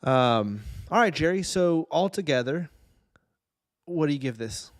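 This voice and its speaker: A man's voice: on the high side, lively intonation, measured pace, no echo, studio quality. A man hosting a podcast, chatting casually and informally about film and TV. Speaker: podcast host.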